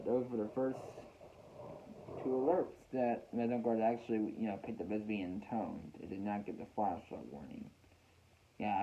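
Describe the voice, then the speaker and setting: Man chatting casually on a lo-fi recording. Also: fairly low pitch. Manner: halting, repeats phrases. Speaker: weather radio hobbyist hosting a video. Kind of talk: casual, reminiscing vlog talk. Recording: dull, lo-fi home recording.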